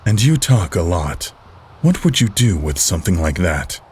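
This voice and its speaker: A man's voice: deep voice, very animated, moderate pace, darkish tone, a little hiss or hum. An actor playing a stern, sceptical male antihero in a video game, speaking in a grave, blunt and dismissive way. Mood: sceptical challenge. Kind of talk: dramatic video game voice acting.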